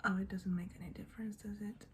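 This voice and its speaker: A young woman who is not a native English speaker, chatting in a soft, often half-whispered, rambling way as she does her makeup at home in front of a mirror. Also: mid-range voice, steady tone, fast talker, slight background noise. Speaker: beauty and book vlogger. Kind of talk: get-ready-with-me chat.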